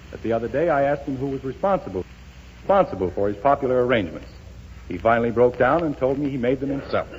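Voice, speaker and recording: A man's voice: medium pitch, darkish tone, muffled recording, a little hiss or hum. A man working as announcer and straight man in scripted 1930s radio comedy, heard on an old broadcast recording.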